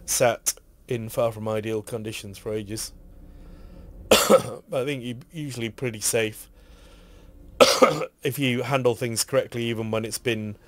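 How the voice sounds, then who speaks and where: A man with a husky, hoarse voice from a cough, rambling casually while streaming a game and reading item text aloud. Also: mid-range voice, fairly even intonation, measured pace, pauses often.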